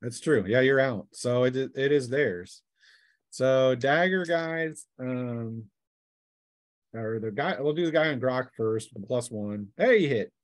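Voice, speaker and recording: A man's voice: mid-range voice, expressive, slow, dry recording. A man runs a tabletop game as game master, taking his time. He calls the action in plain, colloquial language, with very little room sound on his voice.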